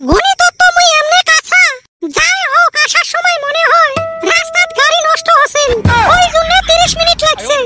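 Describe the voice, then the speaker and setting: A woman's voice: fairly even intonation, moderate pace. A woman voicing a quarrelsome, scatterbrained cartoon village wife with a Rajbongshi Bengali accent and an artificially raised voice. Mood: defiant. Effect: artificially raised cartoon pitch. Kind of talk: comic cartoon dialogue. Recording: loud background music.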